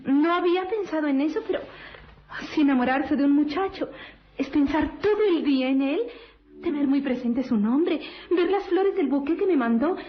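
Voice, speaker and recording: A woman's voice: sits high, lively intonation, moderate pace. An actress playing a dreamy, chatty young girl in love in an old radio drama. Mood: dreamy, lovestruck. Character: lovestruck chatty young niece in her teens. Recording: dull old radio recording, faint hiss.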